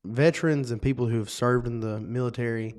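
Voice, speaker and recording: An earnest young man with a Western North Carolina twang, co-hosting a laid-back podcast. He talks in long, rambling, animated runs. His voice sits at an everyday middle pitch. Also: brisk. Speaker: co-host. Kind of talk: casual podcast chat.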